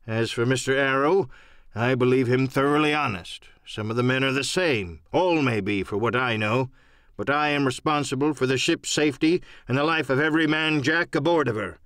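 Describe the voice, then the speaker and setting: A man's voice: medium pitch, moderate pace, a bit bright, no room sound, studio quality, natural voice. A man voicing a blunt, stern sea captain in an audiobook drama, speaking in a clipped, formal, plain-spoken way. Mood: grave, dignified. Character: blunt, stern, dutiful middle-aged male sea captain. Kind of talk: audiobook radio-play acting.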